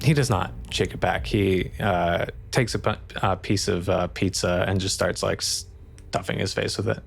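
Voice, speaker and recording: A man's voice: sits low, steady tone, a little slow, creaky, faint music behind. A man acting as game master, casually improvising the narration of a tabletop role-playing game.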